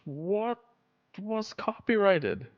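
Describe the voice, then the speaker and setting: A man's voice: sits high, very animated, extremely slow, dry recording, lo-fi sound. A man chatting casually as he hosts a video game livestream.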